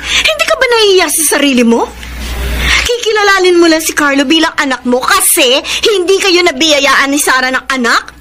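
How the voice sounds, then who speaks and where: A woman's voice: very high voice, expressive, moderate pace, slight background noise, heavily acted. A woman with a Filipino accent playing a bitter, defiant single mother confronting her former lover in an emotional, scornful radio drama. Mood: scornful, firm. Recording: loud music bed behind voice.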